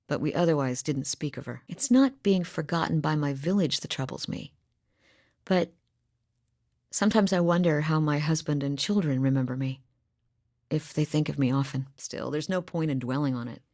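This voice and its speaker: Middle-aged northern huntress, game character, low-ish voice, plainspoken, wry, dry studio sound. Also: female voice, moderate pace, darkish tone, no echo. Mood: wistful. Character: seasoned female huntress from a frozen northern village, plainspoken. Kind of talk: video game voice lines. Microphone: close studio mic, dry.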